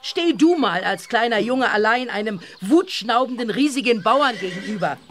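An actress playing a strict, brisk countryside mother in a children's audio drama, firm and commanding. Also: fairly high pitch, animated. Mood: stern.

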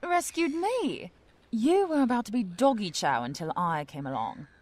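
An actress playing a feisty, skeptical, indignant woman in an audio drama, sharp-tongued and sarcastic. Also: medium pitch, very animated, moderate pace. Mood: incredulous.

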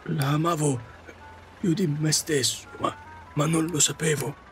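A man playing a middle-aged widowed taxi driver in an audio drama, confessing his regrets in a halting, repetitive, self-reproaching way. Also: on the high side, steady tone, a bit bright, faint music behind. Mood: melancholy, regretful. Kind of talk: Italian audio drama monologue.

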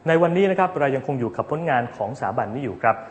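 A man's voice: mid-range voice, animated, very fast, a little hiss or hum. A man hosting a TV programme, presenting scripted material to viewers in a formal, polite manner. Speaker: TV programme host. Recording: long hall-like reverb.

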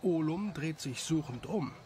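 A man's voice: fairly high pitch, expressive, unhurried, mellow, a little hiss or hum. A man narrating a scripted story for an audio drama.

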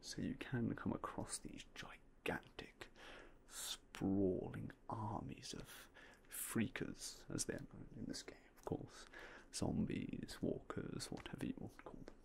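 A young man softly whispering in a polite ASMR roleplay as a game store clerk, close to a binaural mic. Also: lowish voice, fairly even intonation, unhurried, creaky. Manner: soft, polite, mostly unvoiced whispering.

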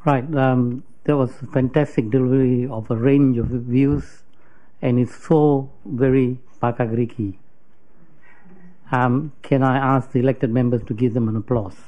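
A man's voice: medium pitch, steady tone. New man chairing a meeting, dull recording. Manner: brisk, procedural, with hesitant ums.

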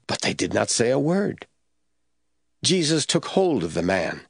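A man narrating scripture from a script as the storyteller of an audio drama, with a husky voice. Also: medium pitch, big pitch swings, measured pace, no echo, clean studio sound.

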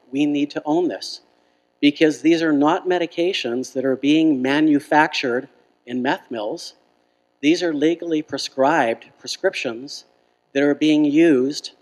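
A man's voice: fairly high pitch, a little mellow. A middle-aged man, a physician, giving an earnest, explanatory public health presentation with emphasis on key words. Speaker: physician speaking as health plan medical officer. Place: indoor function room at a lectern. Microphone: handheld microphone through room. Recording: reverberant hall sound.

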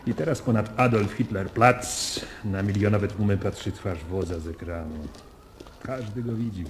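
An actor playing a dutiful German staff officer in a Polish radio drama, speaking in a measured and wry manner. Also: male voice, fairly low pitch, a little hiss or hum, lightly acted. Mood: reminiscing.

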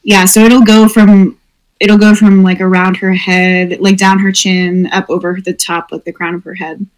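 A woman's voice: mid-range voice, little pitch movement, normal speed, rough recording. Chatty woman playing a tabletop game. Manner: rambling, thinks aloud with many fillers. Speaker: player. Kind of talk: tabletop roleplay over voice chat.

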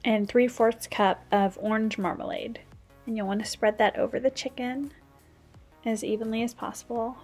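Woman, 30s, higher voice, casual cooking voice-over with soft music underneath; chatty and practical. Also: steady tone, normal speed. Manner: chatty, practical, explains tips as she goes. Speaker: stay-at-home mom cooking vlogger.

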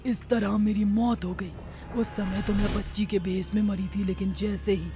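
A woman voicing a vengeful ghost witch in a horror cartoon, threatening and aggrieved, with a slow delivery. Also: medium pitch, steady tone, music in the background, natural voice. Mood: bitter. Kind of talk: Hindi horror cartoon dialogue.

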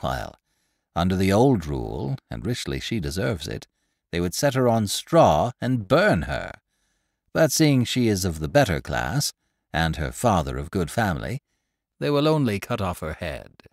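A man's voice: low voice, sing-song, unhurried, no echo, clean studio sound, heavily acted. A man voicing a stout, gossipy older woman shopkeeper in an audiobook, chattering in a breathless, exclamatory way. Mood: gossiping. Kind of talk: audiobook character reading.

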